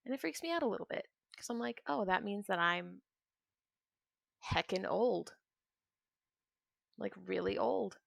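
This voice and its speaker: A woman's voice: medium pitch, animated, unhurried, gravelly, pauses often, no room sound, clean studio sound. A woman in her twenties chatting casually and ramblingly as she streams a video game, sometimes trailing off into mutters to herself. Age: 20s. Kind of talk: casual chat while playing a video game.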